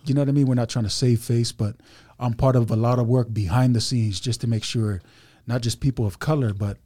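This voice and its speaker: Chill guy on a podcast, mellow warm tone, dry close sound, lots of you know what i mean. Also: medium pitch, fairly even intonation, brisk, no echo. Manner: laid-back, chatty, many fillers and asides. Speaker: podcast guest. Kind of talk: casual podcast chat.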